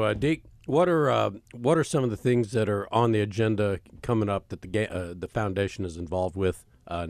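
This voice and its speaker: A man with a deep, low voice co-hosts a radio show. The sound is dry and close. He banters casually, joking and rambling as he goes. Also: no room sound. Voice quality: deeper than his usual nasal voice.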